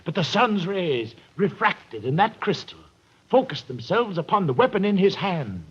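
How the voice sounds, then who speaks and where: Grandiose, querulous older artist: high husky voice, swooping delivery, muffled vintage radio drama. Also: male voice, very animated, normal speed, a bit bright, heavily acted. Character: fretful, pompous older male painter, theatrical, secretive. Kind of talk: 1940s radio acting. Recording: dull, muffled old radio recording.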